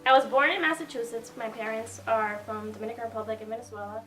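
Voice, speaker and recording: Young woman, fairly high earnest voice. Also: fairly even intonation, fast talker, pushed and bright, rough recording. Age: young adult. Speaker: youth jobs program participant. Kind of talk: interview.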